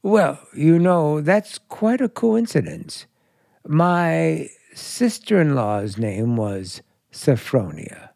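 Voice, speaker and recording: An actor playing a folksy, forward hotelkeeper making flirtatious small talk in a radio play. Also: male voice, a little high, animated, slow pace, vocal fry, a little mellow, no room sound, clean studio sound, exaggerated voice. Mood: chatty, probing. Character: folksy, forward middle-aged hotelkeeper, a flirtatious male stranger.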